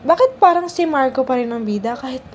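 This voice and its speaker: Jealous teen antagonist played by a woman, high-pitched, faint room noise, radio play. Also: expressive, fast, a little mellow. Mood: scheming, frustrated. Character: scheming, jealous high school girl villain. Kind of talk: amateur radio drama acting.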